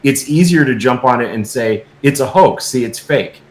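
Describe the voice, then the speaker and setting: A man in a noticeably echoey room, talking off the cuff as a guest. His delivery is halting and repetitive, and he presses his points insistently, often checking that the listener agrees. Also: medium pitch, animated. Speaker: guest filmmaker. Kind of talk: casual unscripted discussion. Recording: echoey, roomy sound.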